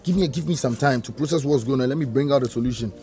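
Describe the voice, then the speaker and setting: A man playing a defensive, hot-tempered young man who shouts in disbelief and then drops to a tense whisper. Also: mid-range voice, quick pace, lightly acted. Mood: tense hushed. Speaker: young man confronted by his partner. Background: music.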